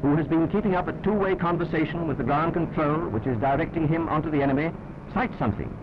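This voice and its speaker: A man's voice: on the high side, quick pace, lo-fi sound, background noise. A British man narrating a 1940s wartime newsreel in a wry, clipped, understated manner, on an old film soundtrack.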